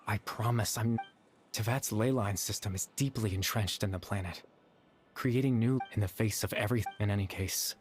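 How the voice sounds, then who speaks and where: An actor playing a gentle, sorrowful young man in a video game, speaking softly and hesitantly with wistful remorse. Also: lowish voice, normal speed, faint music behind, natural voice. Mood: apologetic, earnest. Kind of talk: dramatic video game dialogue.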